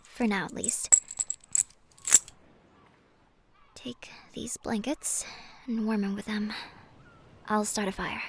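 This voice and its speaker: A woman playing a gentle, mysterious siren in an audio roleplay, guarded and wistful, speaking softly and with measured care, often slipping into a breathy whisper. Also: mid-range voice, steady tone, a little hiss or hum. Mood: hushed, caring. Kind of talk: cinematic audio roleplay acting.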